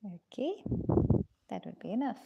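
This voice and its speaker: Slow-talking drawing teacher lady, gravelly low-ish voice, calls everyone dears. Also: sing-song, lo-fi sound. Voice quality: low-pitched voice, in her own words. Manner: gentle, patient, addresses students as dears. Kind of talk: live online drawing class.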